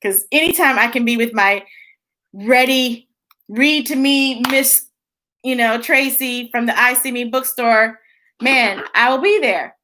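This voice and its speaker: A woman's voice: fairly high pitch, unhurried, sharp tone. An American woman chatting casually and playfully to young viewers as a children's story time host, stretching words for emphasis.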